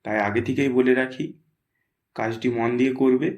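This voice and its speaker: Man acting stern, measured company boss. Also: mid-range voice, steady tone, a little mellow, close to an everyday voice. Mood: stern, instructing. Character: stern, businesslike male company boss giving orders. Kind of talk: Bengali horror cartoon voice acting.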